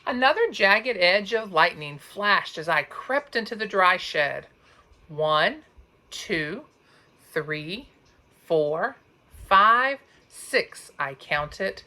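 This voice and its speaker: Slow, animated woman reading a storybook. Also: mid-range voice. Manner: dramatic, drawn-out emphasis on sound words and counting. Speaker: teacher reading aloud. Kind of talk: expressive children's storybook narration.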